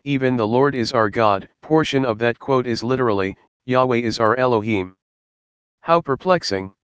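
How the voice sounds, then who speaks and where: A man reading a scripted Bible study in a measured, earnest, explanatory way. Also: mid-range voice, fairly bright tone, smooth, no echo. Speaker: Bible teacher.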